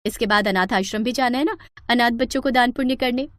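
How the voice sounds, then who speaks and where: A woman's voice: a little high, fast, no echo, studio quality, close to an everyday voice. A woman voicing a polite young new bride who makes a show of virtue in a moral story. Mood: sweetly pious. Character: sweet, falsely pious young new bride. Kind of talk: acted moral-story dialogue.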